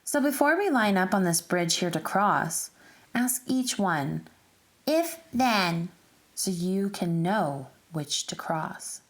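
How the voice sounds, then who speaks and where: Slow-paced woman reading a children's story. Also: on the low side, expressive. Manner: deliberate, instructive, addressing young listeners. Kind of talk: expressive read-aloud of rhyming story.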